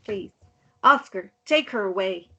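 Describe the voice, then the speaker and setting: A woman's voice: medium pitch, expressive, unhurried, highs cut off, heavily acted. A woman playing a grieving mother who holds herself together, speaking in a considered way at a table read. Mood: grieving, controlled. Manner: soft, considered delivery. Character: grieving, restrained mother of a missing girl. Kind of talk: table-read dialogue acting.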